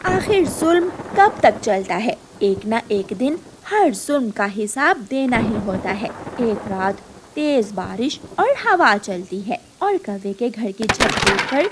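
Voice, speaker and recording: Animated, high-voiced woman storyteller. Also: background noise. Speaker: narrator. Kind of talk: scripted children's story narration.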